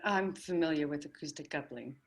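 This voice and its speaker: Actress doing a glamorous 40s science woman, real low voice, knowing and quick-witted, script read. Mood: brisk, knowing. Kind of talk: table read acting.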